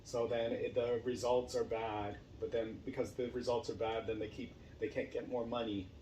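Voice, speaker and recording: A man's voice: mid-range voice, little pitch movement, normal speed, dark tone. A man talking casually as a podcast host and actor, telling stories in a room at a home. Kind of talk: casual chat. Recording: roomy echo, faint background noise.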